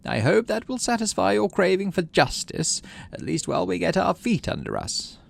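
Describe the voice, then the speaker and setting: A man voicing a prim, firm older woman who governs a colony in a sci-fi game, speaking in a measured, diplomatic way. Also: sits high, sing-song, vocal fry, pitched above the performer's own voice, heavily acted. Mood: prim, composed.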